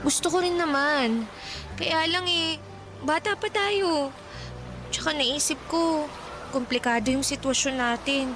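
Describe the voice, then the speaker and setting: A woman's voice: high voice, measured pace, pauses often, music in the background. A woman playing an earnest, practical young schoolgirl in a romantic radio drama, caught in her first puppy love. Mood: gently resigned.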